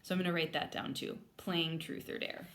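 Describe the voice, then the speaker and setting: A woman's voice: low voice, flat delivery, a little fast, a bit bright. A woman teacher leading an interactive read-aloud lesson for young children in an explanatory manner. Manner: explanatory, spelling words out while writing. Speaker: elementary school teacher.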